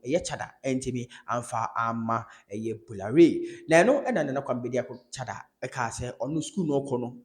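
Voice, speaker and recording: A man's voice: mid-range voice, darkish tone. A man with a Ghanaian accent giving informal commentary, retelling an interview as a code-switching storyteller. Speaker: online video commentator.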